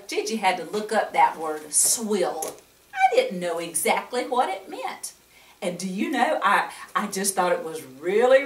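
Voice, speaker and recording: A woman's voice: medium pitch, a bit bright. An older woman reading a story and teaching a Bible lesson to children in a grandmotherly way, with questions and asides. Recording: echoey room, distant microphone.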